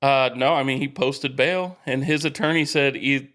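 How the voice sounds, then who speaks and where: Guy co-hosting a sports podcast, medium pitch, easygoing storytelling, super casual. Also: moderate pace, a bit bright.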